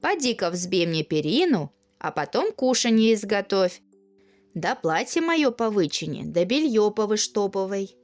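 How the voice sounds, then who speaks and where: A stern but kindly old winter grandfather from a storybook read by a woman, measured and wry. The intonation is lively, with faint music underneath. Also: medium pitch. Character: calm, stern but kindly old man, frost spirit.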